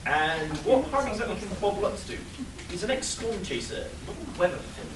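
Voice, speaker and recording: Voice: mid-range voice, sing-song, a little fast, natural voice. A performer playing a cheeky, sarcastic, reluctant superhero sidekick in a comic radio play. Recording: echoey noisy hall, distant mic.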